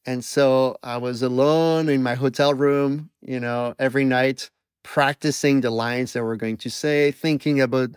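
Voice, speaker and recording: A man's voice: medium pitch, steady tone, measured pace, smooth, no echo, clean studio sound. A man with a Belgian French accent, a researcher explaining his studies and telling a personal story in an interview, thoughtful and self-deprecating. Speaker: emotion researcher guest. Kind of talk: conversational interview.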